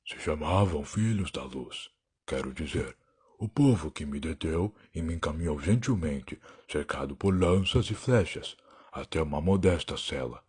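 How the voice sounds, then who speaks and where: A man performs a weary, sardonic alien wanderer telling his own dark tale in an audio drama. The voice is extremely low and very rough, with a Brazilian accent. He is theatrical, brooding and defiant. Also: exaggerated voice. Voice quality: husky, gravelly. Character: weary, defiant alien time-traveler, sardonic adult male. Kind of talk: dramatic first-person audio drama narration.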